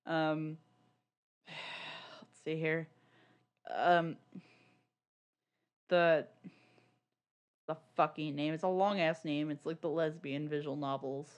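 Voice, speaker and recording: A woman's voice: low voice, steady tone, slow, long pauses. A woman co-hosting a podcast in casual, sweary chat, peppering her sentences with "like". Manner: informal, sweary, peppers speech with "like". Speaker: podcast co-host.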